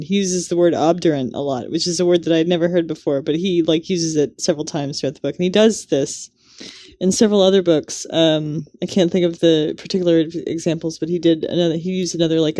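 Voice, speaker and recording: A woman's voice: fairly low pitch, warm tone, no room sound. A woman co-hosting a podcast in casual, chatty talk. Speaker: podcast co-host.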